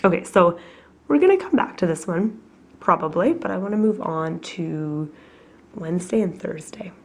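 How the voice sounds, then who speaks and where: A woman with a cold reads tarot at home, her husky voice sitting low and gravelly. She talks casually and reflectively, with plenty of ums along the way. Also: expressive, moderate pace. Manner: chatty and reflective, with many fillers. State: has a cold, coughing. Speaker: tarot reader. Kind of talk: casual weekly tarot reading to camera.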